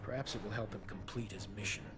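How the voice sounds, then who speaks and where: An actor playing a cold, authoritative military commander in a video game, with clipped speech. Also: male voice, on the low side, fast talker, a bit bright, faint music behind, lightly acted. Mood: stern, commanding. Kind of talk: scripted video game dialogue.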